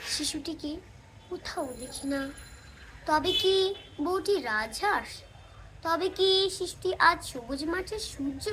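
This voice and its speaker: A woman reciting poetry in a dramatic, expressive and deliberate manner, drawing out phrases with pauses. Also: high voice. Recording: clearly noisy recording with ambient sound.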